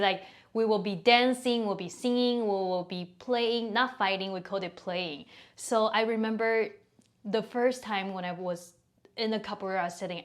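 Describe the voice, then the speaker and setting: Woman hosting a chatty podcast. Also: mid-range voice. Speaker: podcast host. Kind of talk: casual interview hosting.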